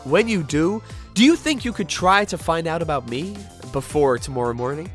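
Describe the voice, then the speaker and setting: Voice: mid-range voice, lively intonation, moderate pace, soft background music, lightly acted. An actor playing a bewildered, polite amnesiac spirit with dry wit in a video game fan dub, hesitant and given to exaggerated surprise. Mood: earnest. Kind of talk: dramatic fan dub with inner monologue.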